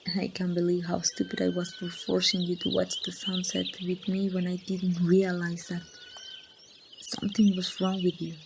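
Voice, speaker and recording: A woman playing a grieving young woman confiding in her lost best friend in a halting, wistful ASMR roleplay monologue. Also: on the low side, monotone, gravelly, background noise. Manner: slow, halting, wistful storytelling. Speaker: amateur voice actor.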